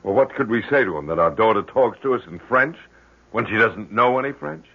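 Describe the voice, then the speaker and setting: An actor playing a worried, stubborn middle-aged father in an old radio drama, halting, earnest and insistent with a husky voice. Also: on the low side, animated, heavily acted. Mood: stubborn, agitated. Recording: very muffled vintage radio recording.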